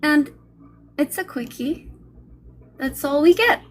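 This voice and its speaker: A woman narrator reading a novel's first page aloud in an expressive, dramatic way. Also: sits high, slow, slight background noise. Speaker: audiobook narrator.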